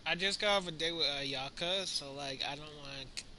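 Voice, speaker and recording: A man's voice: a little high, a little fast, background noise. A young man giving casual gameplay commentary, talking in a slangy, rambling, offhand way. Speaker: gaming streamer. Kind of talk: casual let's play commentary. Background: game music.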